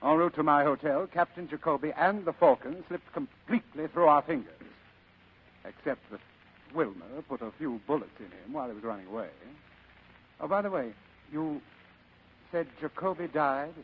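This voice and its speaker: A courtly, scheming crime boss in a vintage radio drama. His voice is husky and fairly high for a man, with florid, pompous politeness. The old recording is muffled. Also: lively intonation, moderate pace. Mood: suave, candid. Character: courtly, scheming older male criminal mastermind, the Fat Man. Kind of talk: 1940s radio acting.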